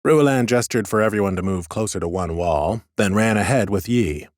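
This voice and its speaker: A man reads scripted fantasy fiction as a first-person narrator. His voice is on the low side and recorded close and dry, with a measured storyteller's delivery. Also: animated, darkish tone, no echo. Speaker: audiobook narrator.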